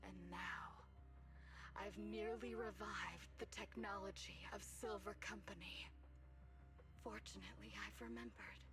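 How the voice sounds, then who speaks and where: A woman playing a brooding, obsessive wounded soldier villain in a video game, speaking in slow, deliberate, dramatic monologue. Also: mid-range voice, sing-song. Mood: solemn, fervent. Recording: music playing behind the voice.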